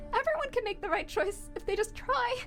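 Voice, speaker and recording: A woman voicing a gentle, trusting child skeleton who speaks earnestly and hopefully in a dramatic comic dub. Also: very high voice, animated, brisk, faint music behind. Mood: tender, hopeful. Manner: earnest, pleading, reassuring.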